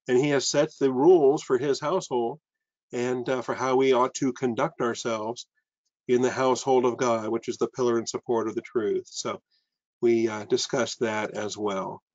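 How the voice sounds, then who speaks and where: A man's voice: mid-range voice, a little mellow, dry recording. An older man, a pastor, teaching a sermon over a video call from a room with bookshelves, earnest and full of fillers and restarts. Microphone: webcam on a video call. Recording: dull lo-fi video call audio.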